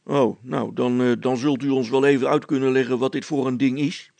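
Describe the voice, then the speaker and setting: Man doing storybook tradesman, wily, grumpy, stammering excuses, gravelly, dry close recording. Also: mid-range voice, fairly even intonation, fairly quick, darkish tone, no echo, close to an everyday voice. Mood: grumpy, sceptical. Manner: blunt, hesitant, stammering excuses. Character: wily, grumpy male workman, quick with excuses. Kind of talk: storybook character acting.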